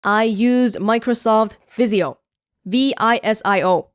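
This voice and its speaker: A woman's voice: a little high, a little slow, clean tone, no room sound. A young woman from Canada answering viewer questions in a casual vlog, chatty and self-mocking, speaking close to the microphone. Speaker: YouTuber. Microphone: close studio mic.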